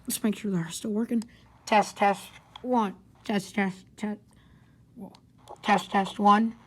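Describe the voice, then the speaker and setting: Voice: medium pitch, fairly even intonation, slow pace, fairly bright tone. A person giving a casual, rambling hands-on gadget review in an informal way. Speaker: product reviewer. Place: carpeted home living room.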